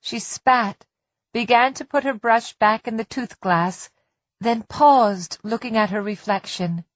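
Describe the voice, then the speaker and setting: A woman narrating literary suspense fiction in a measured, deliberate manner, as for an audiobook. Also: a little high, no echo, muffled recording.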